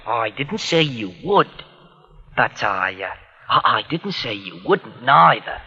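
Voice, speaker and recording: An actor playing a bitter, suspicious young working-class English nephew in a 1940s radio drama, sarcastic and sullen. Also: male voice, mid-range voice, very animated, takes it slowly, pushed and bright, breathy edge, exaggerated voice. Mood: suspicious, accusing. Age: young adult. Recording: dull old 1940s radio recording.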